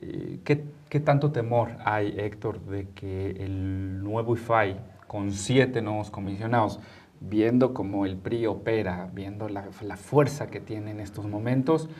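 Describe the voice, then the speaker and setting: A man's voice: on the low side, a little slow, a little mellow. A man with a Mexican accent hosting a conversational panel interview, often rephrasing his questions as he goes. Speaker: interviewer, talk show host.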